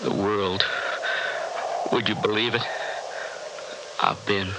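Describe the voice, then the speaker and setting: A low, gravelly, brooding radio-drama businessman. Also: fairly even intonation. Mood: stunned realization. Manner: halting, pensive, broken phrases. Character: shaken, brooding businessman facing his numbered days. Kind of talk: 1960s radio drama acting. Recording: very noisy old radio drama recording.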